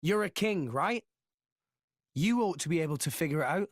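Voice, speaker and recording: High, husky, earnest boy hero. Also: animated, normal speed, no echo, studio quality. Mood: firm. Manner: earnest, impulsive. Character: earnest, loyal, plucky teenage boy hero.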